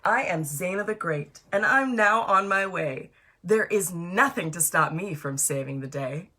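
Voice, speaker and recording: A woman's voice: fairly low pitch, animated, measured pace, sharp tone, husky, full character voice. A woman voicing a proud, fearless young girl hero from a children's storybook, making bold declarations. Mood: determined. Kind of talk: children's picture-book character reading.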